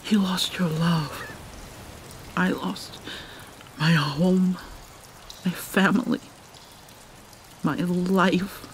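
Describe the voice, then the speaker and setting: Casting: woman to play haunted vampire mermaid princess for ASMR drama; slow, theatrical, breathy near-whisper, light rainy ambience behind. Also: lowish voice, fairly even intonation, a little hiss or hum. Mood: defensive, angry. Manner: halting, theatrical, mournful. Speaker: roleplay voice actor. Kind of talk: dramatic ASMR roleplay monologue.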